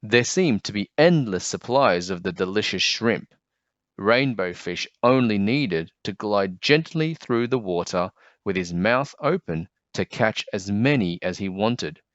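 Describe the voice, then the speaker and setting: Animated man reading a children's story. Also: lowish voice, unhurried, no echo, muffled recording. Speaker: children's book narrator. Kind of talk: expressive scripted storybook narration.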